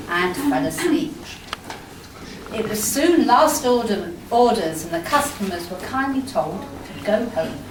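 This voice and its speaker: A woman narrating a fairy tale in a storybook style for an amateur stage pantomime. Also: fairly high pitch. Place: indoor amateur stage performance. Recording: echoey hall from far off, noisy.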